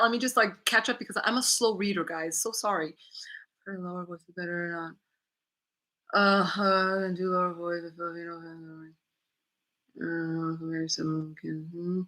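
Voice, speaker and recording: A woman chatting candidly to viewers from her living room on a livestream, reading comments aloud and laughing often. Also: pushed and bright. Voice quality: lower-voiced. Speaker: YouTuber hosting a livestream Q&A.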